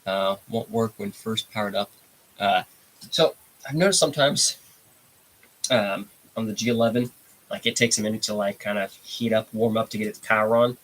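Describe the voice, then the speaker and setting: A man's voice: fairly low pitch, moderate pace, fairly bright tone, slight background noise, rough recording. Stuffy-nosed man chatting on a live stream. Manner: laid-back, rambling, reads out chat comments. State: congested, stuffy nose. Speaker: livestream host. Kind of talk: casual live stream chat.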